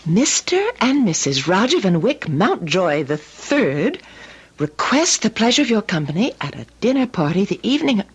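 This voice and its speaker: An actress playing a devoted, anxious young wife in an old-time radio drama, tender, earnest and theatrical. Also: female voice, medium pitch, sing-song, normal speed, slightly rough. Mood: puzzled, astonished. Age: young adult. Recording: vintage radio drama recording.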